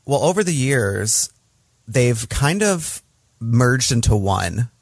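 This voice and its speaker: A man's voice: medium pitch, big pitch swings, slow pace, vocal fry, dry recording. Frank American man, dry sound. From Michigan, USA. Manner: frank, emotional, swears, laughs often. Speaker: podcast guest. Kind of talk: casual conversation.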